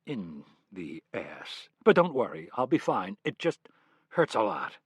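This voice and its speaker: A man voicing a hurt, evasive male agent who answers in a low, hesitant, subdued way, in an audiobook. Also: big pitch swings, normal speed, husky, no room sound. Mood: sheepish. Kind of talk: audiobook character reading.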